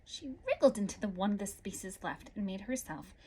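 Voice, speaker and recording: A woman reading a picture book aloud to children in an animated way, adding little asides as a storyteller. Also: medium pitch, normal speed, noisy background. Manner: animated, with asides to young listeners. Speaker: story-time reader.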